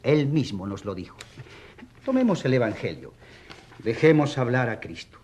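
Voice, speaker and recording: Male courtroom advocate, played by an actor, eloquent, sardonically courteous, highly animated delivery, emphatic rhetoric, radio drama. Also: moderate pace, slight background noise, lightly acted. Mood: sardonic, triumphant. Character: eloquent, ironic defence lawyer for a rural priest.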